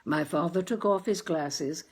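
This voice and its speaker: A woman's voice: lowish voice, unhurried, slightly rough. A woman reading a literary short story aloud as scripted narration. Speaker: story reader.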